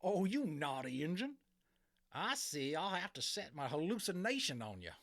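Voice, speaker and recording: A man's voice: on the high side, big pitch swings, normal speed, a bit bright, pitched above the performer's own voice. A man voicing a tiny, grumbling cowboy with a drawling Old West American accent in a dramatic storybook reading. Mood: mocking taunt. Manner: folksy, drawling, combative. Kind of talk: dramatic audiobook character reading.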